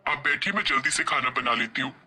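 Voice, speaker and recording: A man's voice: mid-range voice, lively intonation, fast, bright, forceful tone, husky, full character voice. A man voicing a humble, hardworking young village woman in a moral story, respectful, earnest and pleading. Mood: caring. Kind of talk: Hindi moral story character voice.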